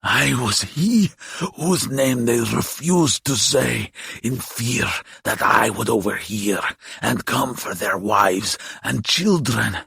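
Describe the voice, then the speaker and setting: A man voicing a frail, dying old demon for an audiobook, proud and menacing, with a croaking, hissing, breathy voice and slow, grandiose delivery. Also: mid-range voice, sharp tone, no echo, heavily acted. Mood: menacing, demanding. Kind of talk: dramatic audiobook character voice.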